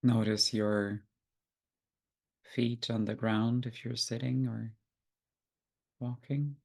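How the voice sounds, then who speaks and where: A man guiding a meditation, giving gentle, unhurried instructions with long pauses. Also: mid-range voice, little pitch movement, creaky. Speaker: meditation guide. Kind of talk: guided meditation.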